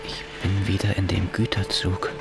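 An actor playing a meek, resigned prisoner of war in a radio drama, understated, with a creaky voice. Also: male voice, low-pitched, completely flat delivery, normal speed. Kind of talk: radio drama acting, first-person asides. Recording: loud music behind the voice. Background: loud music.

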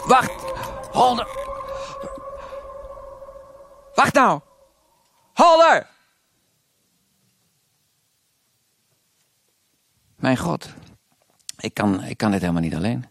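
An actor playing an anguished detective in a live noir radio drama, halting and repeating his words. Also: mid-range voice, huge pitch swings, vocal fry, no echo, exaggerated voice. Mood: panicked. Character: anguished male detective, rambling in a fevered dream. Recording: live stage with loud music.

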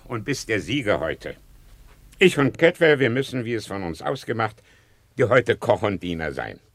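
A man's voice: low-pitched, a bit bright, no echo, exaggerated voice. An actor playing a hospitable old exiled hunter and father in a classical radio drama, hearty, fatherly and declamatory.

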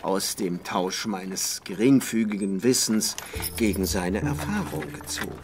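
A slow-talking, pedantic old-world scholar. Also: male voice, on the low side. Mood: earnest, florid. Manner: florid, erudite, chuckling, hesitating with ums. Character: garrulous pedantic male scholar, amused, florid society gossip. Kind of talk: radio play dialogue.

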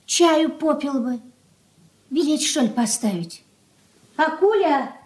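A woman's voice: high voice, lively intonation, slow, darkish tone, rough recording. An actress playing an anxious, homely old mother and merchant's wife in a radio drama, coaxing and placating. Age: older. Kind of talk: Soviet radio drama acting.

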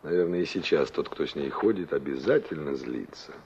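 An actor playing a wry, brusque young engineer with clipped, ironic delivery in a 1960s radio play. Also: male voice, extremely low pitch, animated, a little fast, a little mellow. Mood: musing. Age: young adult. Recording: dull, noisy vintage radio recording.

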